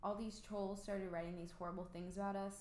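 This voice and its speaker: A bold, quippy rich teenage girl acted by a woman in an amateur radio play. Her delivery is theatrical and candid, at a middle pitch, and the room rings noticeably around her. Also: flat delivery, fairly quick, a little mellow, a little hiss or hum, close to an everyday voice. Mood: confessional, regretful. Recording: roomy home recording.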